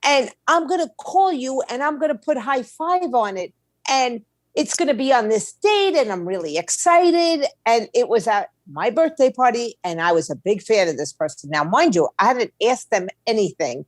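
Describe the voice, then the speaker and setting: A woman's voice: on the high side, big pitch swings, moderate pace, no echo. A woman chatting casually as an interview guest, blunt and candid, telling stories by quoting people. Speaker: interview guest, indie label owner.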